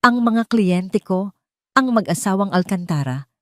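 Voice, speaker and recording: A woman voicing a courteous, sympathetic male attorney in an audio story, speaking in a measured, formal way. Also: mid-range voice, expressive, no echo, studio quality, lightly acted.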